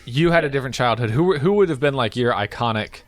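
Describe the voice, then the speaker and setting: A man co-hosting a podcast, chatting casually and reading film reviews aloud with quick asides and laughs. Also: mid-range voice, animated. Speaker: podcast co-host.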